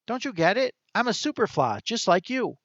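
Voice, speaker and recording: A man voicing a clever, touchy male talking squirrel who talks quickly and complains with indignation. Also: high-pitched, sing-song, fairly bright tone, no room sound, muffled recording, pitched above the performer's own voice, exaggerated voice. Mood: exasperated.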